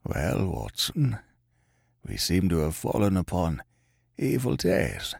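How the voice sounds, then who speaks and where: A gravely ill detective, played by a man reading a storybook, croaks and gasps with imperious authority. The voice is creaky and broken, swinging widely in pitch, and sounds close and dry. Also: big pitch swings, measured pace, dry recording, exaggerated voice. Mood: feeble, feverish. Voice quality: croaking, gasping, spasmodic. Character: gravely ill, imperious male detective, feeble yet masterful.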